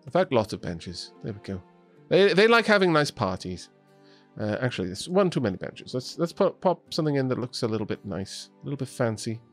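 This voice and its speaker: A man's voice: medium pitch, sing-song, brisk, soft background music. A young man with a Welsh accent, casually thinking aloud as he streams a building game. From Wales. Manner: chatty, thinking aloud while building, small restarts. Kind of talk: casual gameplay commentary. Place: home streaming setup in Scotland.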